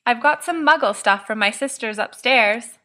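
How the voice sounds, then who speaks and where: An earnest, slightly naive teenage boy, put on by a woman reader in an audiobook. He is hesitant and doubtful, with a bright, raised pitch. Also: normal speed. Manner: doubtful, tentative. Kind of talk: fan fiction audiobook character.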